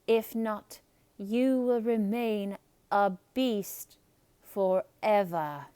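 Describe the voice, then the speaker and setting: A woman voicing a solemn enchantress who pronounces her words in a grave, deliberate way, as in a fairy tale. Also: mid-range voice, dark tone, clear, resonant tone, no echo.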